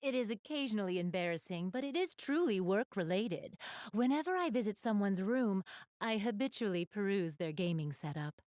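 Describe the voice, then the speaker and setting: A woman's voice: mid-range voice, animated, moderate pace, no echo, clean studio sound, close to an everyday voice. A woman voicing a polite, ladylike young goddess in a video game, speaking formally and graciously to the player. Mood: enthusiastic, slightly embarrassed. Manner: formal, refined, gently ladylike phrasing.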